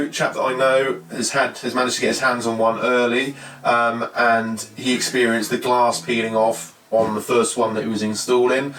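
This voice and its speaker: A British man presents an informal tech review in a mid-pitched voice. He thinks aloud in short, halting phrases. The sound is echoey and slightly distant, with music in the background. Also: a bit bright. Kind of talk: casual unscripted review talk. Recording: roomy echo, distant mic, faint music.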